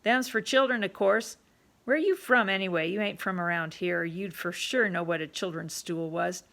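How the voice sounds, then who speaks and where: A woman's voice: fairly low pitch, moderate pace, a bit bright. A woman doing a brusque, coarse pub owner. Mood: gruff, bossy. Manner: rough, ungrammatical talk, bossy.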